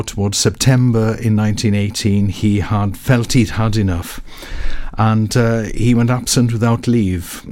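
A man speaking unscripted as a studio interview guest, explaining a story in a measured, halting way. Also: lowish voice, warm tone. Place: radio studio.

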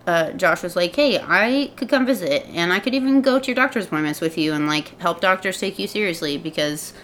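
A woman's voice: on the low side, animated, fairly quick, a bit bright, a little hiss or hum. A woman co-hosting a casual podcast chat, rambling with lots of "like". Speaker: podcast co-host.

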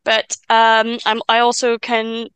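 Welsh-speaking woman, 20s, stuttering guest chatting; bright, clean, dry close sound. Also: fairly high pitch, completely flat delivery, smooth, dry recording. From Wales. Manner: stammers and restarts, stutter; chatty, quick asides and laughs. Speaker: podcast guest. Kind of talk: casual chat.

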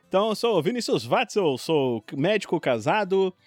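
A Brazilian man in his forties with a Rio accent, chatting casually and telling stories. His voice sits fairly high and swings up and down a lot as he gets into what he is saying. There is some music behind him. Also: slightly rough, no room sound. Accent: Rio de Janeiro Brazilian Portuguese. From Rio de Janeiro, Brazil. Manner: animated, informal storytelling with quoted exclamations. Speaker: podcast guest, longtime tabletop RPG player. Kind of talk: casual chat, personal storytelling.

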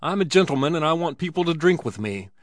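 A man voicing a burly, boastful, drunken saloon bully in an audiobook, loud and insistent. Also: mid-range voice, expressive, measured pace, no room sound, natural voice. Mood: angry, blustering. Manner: loud, insistent, blustering exclamations. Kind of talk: dramatized audiobook character.

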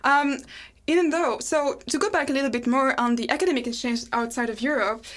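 A woman's voice: sits high, a little fast. A young woman hosting a casual talk show, chatty, with many fillers and restarts. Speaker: host.

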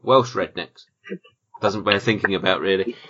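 A British man with a bright, forceful voice hosts a casual film podcast. He banters in a dry, jokey way and swears freely. The audio is slightly dull and lo-fi. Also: low voice, normal speed. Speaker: podcast host.

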